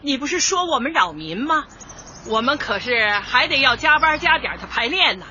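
An actress playing a cheerful, devoted older community dance organizer in a radio comedy, exclamatory and folksy. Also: female voice, high voice, big pitch swings, normal speed, pushed and bright, slight background noise, heavily acted. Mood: hearty, teasing. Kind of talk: light comedy radio drama acting. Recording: dull lo-fi audio, faint music.

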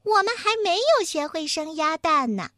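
Woman as a shrill, nervous little mouse. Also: sing-song, moderate pace, sharp tone, no echo, studio quality, heavily acted. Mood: nervous protest. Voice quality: shrill, squeaky. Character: small, nervous young male mouse brothers.

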